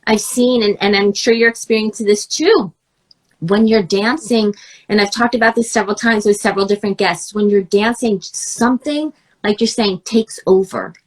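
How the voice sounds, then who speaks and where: A woman hosts a relaxed conversation, her voice scratchy and a little rough from a cold. She talks in easygoing runs, with fillers and quick encouraging murmurs. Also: medium pitch. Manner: warm encouraging backchannels, many fillers. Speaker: podcast host. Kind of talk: casual interview chat.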